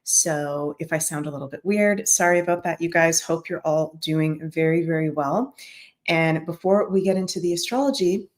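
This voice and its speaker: A woman's voice: deep voice, normal speed. Woman astrology host, a bit scratchy. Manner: chatty, addresses viewers as "you guys". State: slightly scratchy voice. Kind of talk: casual daily horoscope talk to viewers.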